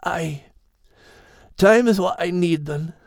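A man's voice: high-pitched, takes it slowly, vocal fry, no room sound, clean studio sound, voice pushed up, full character voice. A man as a subdued storybook dog. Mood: sad. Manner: subdued, heartfelt. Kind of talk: audiobook character voice.

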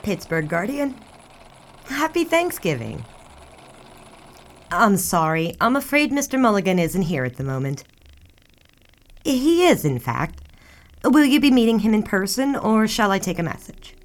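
A chatty 1920s newspaper office worker in a radio drama. She is polite and halting on the telephone, with a very lively, swooping intonation. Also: medium pitch. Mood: friendly, slightly flustered. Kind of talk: radio drama phone conversation.

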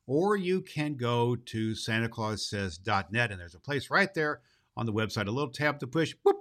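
A man's voice: medium pitch, a bit bright. A man playing a jolly, kindly old Santa Claus, hosting a children's show in a folksy, rambling, emphatic way. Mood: cheerful, kindly. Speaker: show host.

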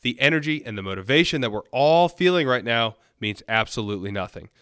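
A man's voice: mid-range voice, expressive, fast, a bit bright, clean tone, dry recording, clean studio sound. A man hosting a solo podcast, talking in an upbeat, earnest and encouraging way while a little nasal from a cold. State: has a cold, a bit nasal. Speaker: podcast host. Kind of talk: solo motivational podcast talk.

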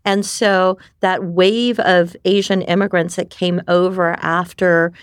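A middle-aged woman from New York, whose first language was Japanese, telling her life story in a candid, animated way and often tagging on "right?". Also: lowish voice, takes it slowly, clear, resonant tone, no echo, clean studio sound. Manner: animated, frequent restarts and "right?" tags. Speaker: podcast guest.

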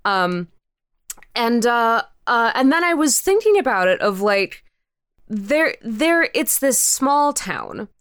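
Animated young woman chatting, clean close sound. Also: fairly high pitch, clear, resonant tone, dry recording. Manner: animated, halting, informal, sweary. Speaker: podcast creator, interview guest. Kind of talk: casual interview chat.

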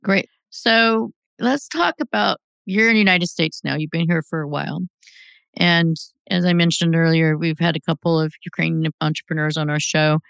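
A woman hosting an interview, asking direct, measured questions. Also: sits low, steady tone, gravelly, fairly bright tone, smooth, no room sound. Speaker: interview host.

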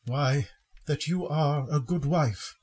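A man playing a gentle, grieving Victorian father in a radio play, speaking tenderly and earnestly in a husky voice. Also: a little high, slow pace. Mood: warmly earnest. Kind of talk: sentimental radio play acting.

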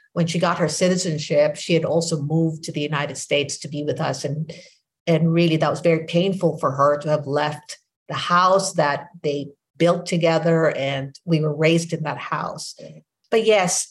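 A woman in her sixties with a Filipino accent, whose first language is Filipino, hosting a podcast and telling her story in a reflective, unhurried way. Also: sits low. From Philippines. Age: 60s. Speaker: podcast host. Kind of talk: casual conversation.

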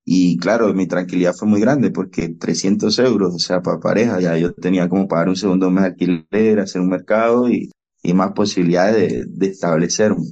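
A young Venezuelan man, a podcast guest, telling his story with animated, reenacted dialogue. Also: sits low, a little fast. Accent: Venezuelan Spanish. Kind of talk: storytelling interview. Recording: dull, lo-fi podcast audio.

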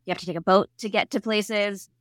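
A woman from Staten Island, telling stories casually as a podcast guest, animated and self-deprecating. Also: mid-range voice, fairly quick, dry recording, clean studio sound. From Staten Island, New York City, United States. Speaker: podcast guest, speaker on inclusivity. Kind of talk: casual conversational storytelling.

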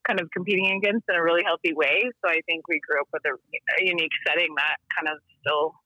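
A woman's voice: lowish voice, fairly even intonation, quick pace, bright, forceful tone, no room sound, muffled recording. A woman from Texas, a guest calling in to a podcast interview, chatting casually with many fillers and frequent laughs. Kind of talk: casual interview over a call. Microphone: phone or call line.

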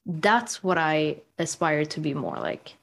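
Low, reflective woman from Sweden. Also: animated, gravelly. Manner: reflective, measured storytelling. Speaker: podcast guest. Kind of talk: conversational interview.

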